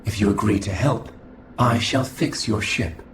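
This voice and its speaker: A man voicing a coldly logical, formal alien scholar in a video game cutscene, speaking in a measured, clinical way. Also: fairly low pitch, background noise, natural voice. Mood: calm, formal. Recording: echoing voice over game music.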